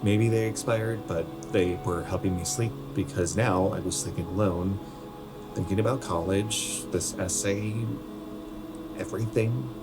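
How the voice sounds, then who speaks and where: An American man acting in a radio play as a troubled, injured young soccer player, halting and confessional, with a bitter, sarcastic, profane edge. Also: low-pitched, loud music behind the voice. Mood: subdued confession.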